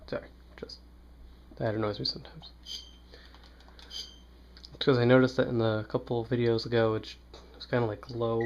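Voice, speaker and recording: A man's voice: mid-range voice, little pitch movement, normal speed, pauses often, darkish tone, muffled recording. A man giving casual, offhand commentary as a let's player, informal and prone to swearing.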